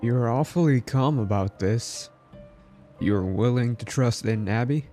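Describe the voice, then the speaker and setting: A man's voice: medium pitch, measured pace, dark tone. A man from the Philippines giving casual gameplay commentary as a streamer. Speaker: game streamer. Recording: faint game music behind.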